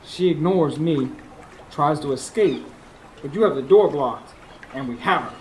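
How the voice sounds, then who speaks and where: An actor playing an earnest, scheming Southern husband in a radio drama, with a folksy, confiding way of talking. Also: male voice, on the high side, lively intonation, unhurried, poor audio. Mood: urgent, scheming. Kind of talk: radio drama acting with first-person narration. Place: stage before a live studio audience. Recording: live radio show with clear background noise.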